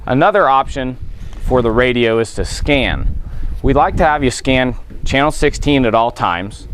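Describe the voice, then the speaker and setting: Male Coast Guard officer giving plain-spoken instruction, mid-pitched voice, recorded with noticeable ambient noise. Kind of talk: instructional explanation to boaters. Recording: clear background noise, ambient sound.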